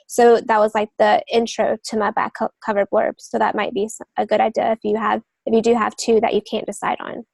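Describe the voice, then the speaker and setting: A woman chats on a podcast in a fairly high voice with clear vocal fry. She gives advice informally, in loosely phrased thoughts. The recording is dry and close-sounding. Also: level tone, brisk, hardly any pauses, darkish tone, no room sound. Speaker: literary agent and novelist giving advice. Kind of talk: casual podcast chat.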